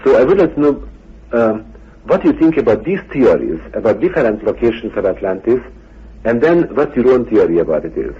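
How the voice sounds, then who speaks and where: A man with a husky voice conducting a radio interview, putting his questions in deliberate, halting phrases. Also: medium pitch, sing-song. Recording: dull, muffled radio recording.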